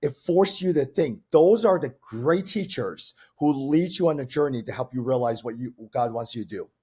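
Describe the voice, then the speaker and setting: Man, podcast host, husky voice, mellow tone, encouraging. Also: mid-range voice, animated, moderate pace. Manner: encouraging, affirming interviewer. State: losing his voice, husky. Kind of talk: conversational interview.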